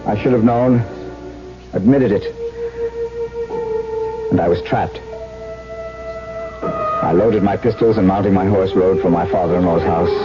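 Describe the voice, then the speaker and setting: An actor playing a tormented, obsessed nobleman, telling his tale theatrically in a 1970s radio drama. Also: medium pitch. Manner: theatrical, brooding, impassioned. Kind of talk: 1970s radio drama acting, first-person narration. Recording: dull vintage AM radio recording, music behind.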